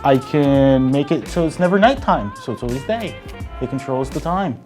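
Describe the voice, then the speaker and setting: A man's voice: mid-range voice, expressive, moderate pace, music in the background. A man arguing his points as a panelist in a casual chat show. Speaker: debate panelist.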